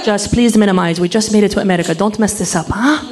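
A woman putting on her weary, judgmental immigrant mother, pleading in a lower voice. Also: fast talker, a little mellow, lightly acted. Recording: noisy live club recording.

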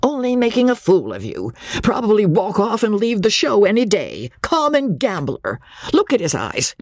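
A woman voicing a bitter, jealous mother who scolds in a sharp, spiteful way. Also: mid-range voice, wildly swooping pitch, moderate pace, no room sound, studio quality, pitched above the performer's own voice.

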